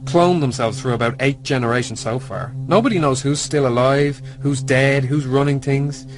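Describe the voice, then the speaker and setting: An actor playing a secretive, knowing underworld informant in a radio drama. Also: male voice, medium pitch, fairly even intonation, moderate pace, close to an everyday voice. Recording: music behind the voice.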